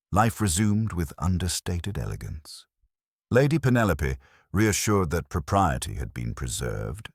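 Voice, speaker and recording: A man reading a scripted mystery story as a narrator, in a measured, formal way. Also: rumbling low, no room sound, studio quality. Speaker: audiobook narrator.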